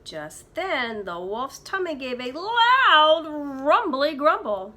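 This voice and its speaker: A woman's voice: high-pitched, sing-song, takes it slowly, bright, forceful tone. A woman preschool teacher reading a storybook aloud to young children, with dramatic emphasis.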